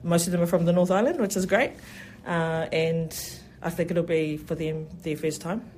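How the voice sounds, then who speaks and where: New festival organiser, mid-pitched and enthusiastic, a rambling interview guest. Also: fairly even intonation, normal speed, darkish tone, slight background noise. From New Zealand. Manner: enthusiastic, rambling, many "you know" fillers. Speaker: festival event specialist. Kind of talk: radio interview.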